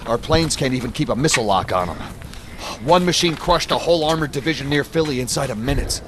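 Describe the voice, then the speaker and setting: An actor playing a grim, bitter soldier surviving an alien invasion in an audio drama, speaking with gritty urgency. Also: male voice, mid-range voice, animated, fast talker, noisy background, natural voice. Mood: bitter, urgent.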